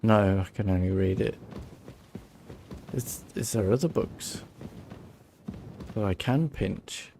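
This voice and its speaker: A man's voice: lowish voice, takes it slowly, vocal fry, pauses often, warm tone, a little hiss or hum. A man streaming a video game, giving casual playthrough commentary, musing aloud with dry jokes.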